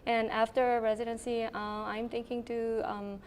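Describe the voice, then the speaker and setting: Brief soft-spoken Korean woman physician, hesitant. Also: on the high side, level tone, moderate pace, slight background noise. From South Korea. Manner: thoughtful, with frequent ums and you-knows. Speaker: internal medicine resident. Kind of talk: interview answers.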